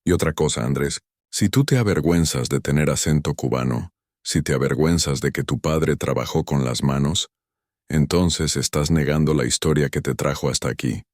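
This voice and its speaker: A man tells a first-person drama in a slow, stern, measured way. His voice is extremely deep and booming low. The recording is dry and close, with no room echo. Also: a little mellow. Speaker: narrator. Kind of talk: first-person story narration.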